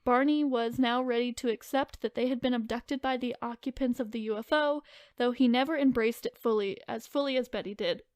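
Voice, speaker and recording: A woman with a fairly high voice co-hosts a podcast. She tells things in an informal, conversational way, sometimes reading from prepared notes. The sound is dry, with very little room echo. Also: fairly even intonation, a little fast, darkish tone. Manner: informal, filler-heavy, hedging retelling. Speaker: podcast co-host telling the story. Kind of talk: casual chat mixed with reading from notes.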